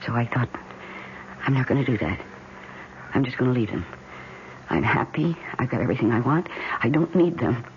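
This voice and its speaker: A woman's voice: very deep voice, expressive, fairly quick, long pauses. An actress playing an obsessive, tender woman who dotes on her houseplants, in an intense, cooing, theatrical 1970s radio drama style. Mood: haunted, confiding. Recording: dull, noisy old radio broadcast recording.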